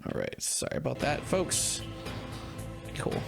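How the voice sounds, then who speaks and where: A man giving casual, offhand live commentary as a game streamer, halting as he goes. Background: game music.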